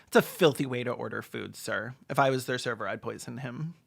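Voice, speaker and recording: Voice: mid-range voice, fairly quick, vocal fry. A video essayist giving sarcastic, casual commentary on a film, with plenty of swearing.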